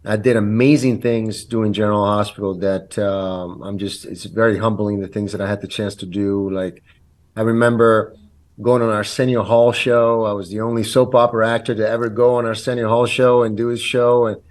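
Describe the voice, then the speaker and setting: A man's voice: fairly low pitch, little pitch movement, moderate pace. Italian-born guy in his 50s, guest on a podcast, keeps restarting sentences, lots of ums. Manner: earnest storytelling with frequent ums and restarts. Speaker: guest actor. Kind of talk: casual interview chat.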